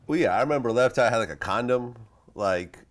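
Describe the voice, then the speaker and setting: A man's voice: medium pitch, lively intonation, fairly quick. A man with an American accent asking questions casually as the host of an interview.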